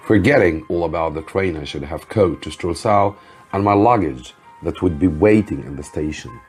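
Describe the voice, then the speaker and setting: Male narrator, low and slightly rough, unhurried teacherly storytelling over soft music. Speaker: English teacher reading a story aloud. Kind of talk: slow scripted story narration for learners. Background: music behind the voice.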